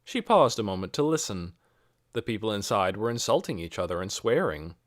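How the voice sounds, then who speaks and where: A man reading a children's novel as scripted audiobook narration, in an expressive storytelling manner. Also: lowish voice, fairly quick, no room sound, studio quality.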